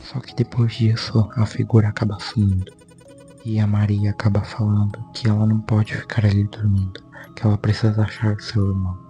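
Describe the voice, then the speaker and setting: A Brazilian man narrates a story recap in an informal, conversational way. His voice is low and mellow, with a fairly flat delivery. Soft music plays behind him as he talks. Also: brisk, muffled recording. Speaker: YouTube host.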